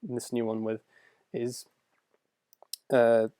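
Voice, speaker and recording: A man's voice: mid-range voice, little pitch movement, extremely slow, warm tone, dry recording. A young man casually answering viewer questions on a livestream, rambling and self-deprecating as he goes. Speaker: animator and video creator answering viewer questions.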